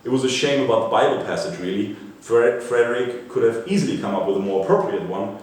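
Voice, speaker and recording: A man reading a scripted popular history narrative aloud in a measured way, like an author presenting an excerpt, with an occasional stumble. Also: medium pitch, animated, darkish tone. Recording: echoey room, distant mic, faint noise.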